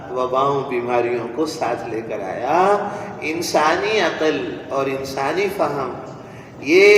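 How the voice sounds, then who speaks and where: Slow-paced male preacher in an echoing hall. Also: on the high side. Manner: deliberate, with rhetorical repetition and pauses. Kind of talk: religious sermon. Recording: echoey hall from far off, noisy.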